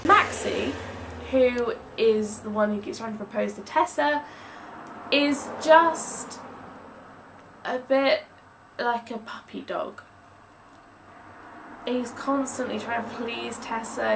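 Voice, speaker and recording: A young English woman speaks in a high voice that often dips into creaky fry. She reviews books in a chatty, emphatic style, leaning hard on stressed words. The mic sits at a distance, so she sounds a little removed. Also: slow pace, long pauses. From England. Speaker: book reviewer. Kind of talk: casual, chatty book review vlog. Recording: distant mic with some background noise.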